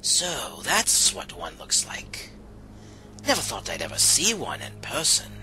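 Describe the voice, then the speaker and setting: A man's voice: mid-range voice, huge pitch swings, unhurried, sharp tone, whispered, full character voice, poor audio. A man playing an earnest agent in an audio drama. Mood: impressed, polite. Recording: music under the voice.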